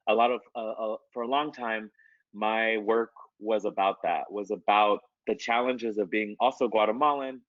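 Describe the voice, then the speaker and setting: A man's voice: lowish voice, fairly even intonation, normal speed, dry recording, phone-quality sound. A man, an artist, answering interview questions in a loose, conversational way, with many fillers and restarts. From United States.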